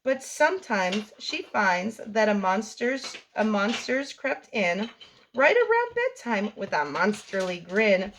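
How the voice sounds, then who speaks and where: A woman reading a picture book aloud to young children as a storytime host, in an expressive sing-song delivery. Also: medium pitch, slow, a little hiss or hum, low-quality recording.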